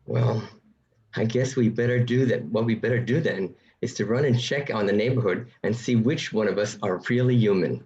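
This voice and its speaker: A man's voice: on the low side, normal speed, darkish tone, close to an everyday voice. Man as a probing neighbor, muffled sound. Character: suburban neighbor man questioning a boy in suspense play. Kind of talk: radio play acting. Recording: dull, lo-fi home recording.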